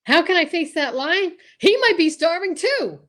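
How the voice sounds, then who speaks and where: A woman's voice: very high voice, lively intonation, normal speed, very noisy. A woman playing a cowardly, wisecracking comic man in amateur old-time radio style, with stammers and hammy punchlines. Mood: nervous, wisecracking. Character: Costello, cowardly wisecracking comic man sent lion hunting.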